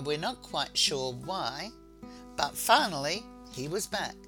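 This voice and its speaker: Storyteller reading over prominent music. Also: medium pitch. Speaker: author reading own short story. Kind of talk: storybook narration.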